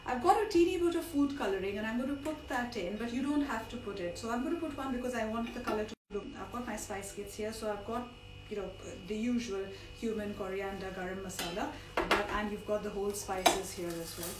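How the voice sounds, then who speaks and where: A woman's voice: medium pitch, fairly quick, distant mic. A middle-aged woman from India teaches a cooking class on a live stream, chatting as she explains. Her voice is partly lost. She sounds set back from the mic in a roomy kitchen, with some background noise. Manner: chatty, digressive instruction with asides. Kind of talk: informal live cooking demonstration.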